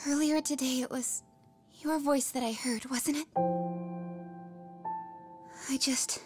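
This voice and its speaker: A woman voicing a guarded, hesitant high school girl in a video game dub. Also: steady tone, slow pace. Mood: hesitant, touched. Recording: loud music under voice.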